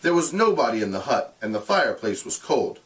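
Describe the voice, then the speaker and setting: A man reading aloud like a teacher, in an expressive narrating style with deliberate, explanatory delivery. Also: mid-range voice, recorded from a distance, poor audio.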